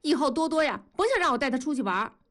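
Lady doing a whiny defiant schoolgirl, high pitched, swoopy, sulky, audio drama kid. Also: expressive, rapid-fire. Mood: sulky, resentful. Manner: whiny, loud protests.

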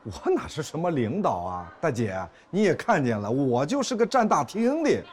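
An actor playing a harried court officer in a screen drama, placating and evasive, with dry exasperation and repeated words. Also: male voice, a little high, huge pitch swings, moderate pace. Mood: dryly exasperated. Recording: noticeable background noise and ambience.

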